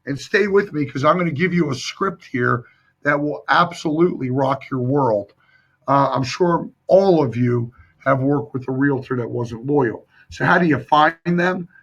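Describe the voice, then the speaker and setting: A hoarse man coaching viewers through a live sales training, speaking emphatically and addressing them directly. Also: mid-range voice, a little slow, fairly bright tone. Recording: dull lo-fi stream audio.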